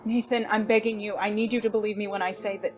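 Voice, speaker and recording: Coy, refined gentleman played by a woman. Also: mid-range voice, level tone, quick pace, music in the background, lightly acted, poor audio. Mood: pleading. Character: refined male lover of a detective, coy, secretive, wounded. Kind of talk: noir audio drama dialogue.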